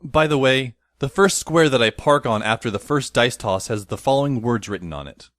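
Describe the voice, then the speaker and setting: A man's voice: medium pitch, expressive, moderate pace, a bit bright, dry recording, studio quality, natural voice. A man playing a wry, put-upon teenage boy, narrating in the first person for an audio drama. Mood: sardonic, weary.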